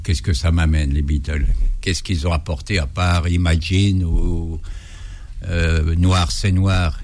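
Older guy with an ardennes accent, really deep voice, jokey and rambly, lots of ums. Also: level tone, slow, darkish tone. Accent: Ardennes French. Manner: folksy and joking, rambling with fillers. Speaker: guest, surgeon and anthropologist. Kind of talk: radio interview, anecdotal.

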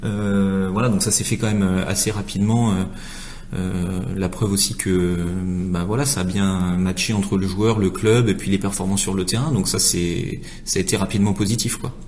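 A man with a fairly low voice comments as part of a question session. His delivery is casual and informal, feeling for his phrasing as he goes. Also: steady tone, moderate pace, a little mellow, slight background noise.

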